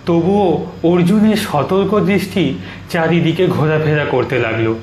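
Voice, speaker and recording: Highish male horror narrator, echoey. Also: unhurried. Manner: dramatic, suspenseful. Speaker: story narrator. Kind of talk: scripted horror story narration. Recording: roomy echo. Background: soft music.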